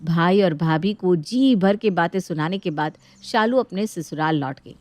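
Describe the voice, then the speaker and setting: A woman's voice: lowish voice, unhurried, darkish tone, dry recording. A woman storyteller giving scripted narration of a moral family tale.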